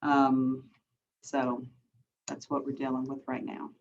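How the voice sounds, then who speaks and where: A woman working as city staff, giving a relaxed update with fillers on a video call. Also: rumbling low, takes it slowly. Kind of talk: virtual meeting update. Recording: dull lo-fi call audio, faint noise.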